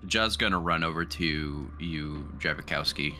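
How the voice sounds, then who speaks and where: A man's voice: extremely low pitch, measured pace, sharp tone, slight background noise. A man playing a tabletop game, casually describing his character's actions and searching for words.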